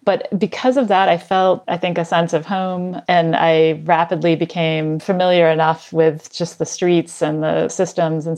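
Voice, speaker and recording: A woman in her fifties, a podcast guest telling her story in a measured, articulate way. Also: low voice, darkish tone. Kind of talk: conversational interview.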